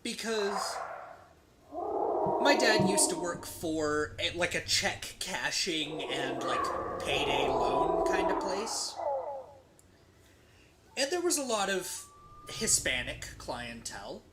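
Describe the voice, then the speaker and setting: A streamer telling a story casually and comedically while gaming, with profanity, dramatic pauses and rhetorical tags. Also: mid-range voice, sing-song, heavy background noise. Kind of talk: casual comedic storytelling during game play.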